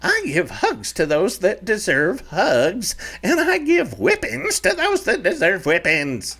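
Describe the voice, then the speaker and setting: A man voicing a small, fiery-tempered ranch mother who scolds and bosses her grown son, with a sharp, high put-on voice. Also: wildly swooping pitch, slow pace, heavily acted. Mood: scolding.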